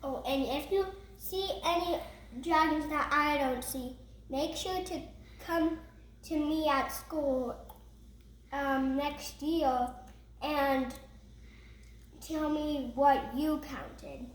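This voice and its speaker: Slow, high-pitched casual female voice, echoey. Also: fairly even intonation. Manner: simple, repetitive, halting phrases. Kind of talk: casual, unscripted pointing out of picture details. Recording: roomy echo with background noise.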